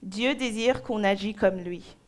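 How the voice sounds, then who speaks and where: A young woman from Nigeria, preaching and giving a personal testimony in short phrases with pauses for an interpreter. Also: medium pitch. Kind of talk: sermon in French.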